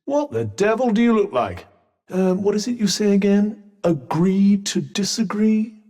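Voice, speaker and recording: A man's voice: sits high, expressive, a little slow, warm tone, soft background music, exaggerated voice. A man with a British accent voicing a haughty, sardonic magical cuff in a video game, speaking with dry, articulate understatement. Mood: dry, wry. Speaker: voice actor. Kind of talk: video game companion dialogue.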